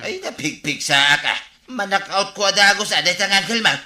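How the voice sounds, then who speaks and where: Man playing defiant male gossip, Ilocano accent, piercing bright voice, radio comedy-drama, echoing room. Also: high voice, lively intonation, a little fast, heavily acted. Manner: defiant, argumentative. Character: stubborn, defiant male village gossip spreading accusations. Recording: roomy, echoing studio sound.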